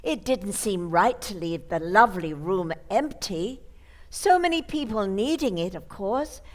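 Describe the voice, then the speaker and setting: A woman's voice: medium pitch, expressive, measured pace, lightly acted. A woman playing a sweet elderly spinster aunt in an old-time radio comedy, genteel and matter-of-fact as she chides. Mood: sweetly matter-of-fact.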